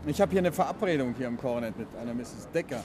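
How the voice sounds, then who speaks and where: An actor playing an urbane, polite, quick-witted amateur detective in a 1950s German radio drama. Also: male voice, mid-range voice, lively intonation, lightly acted. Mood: polite, brisk. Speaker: detective hero of a radio serial. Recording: noisy old 1950s radio recording.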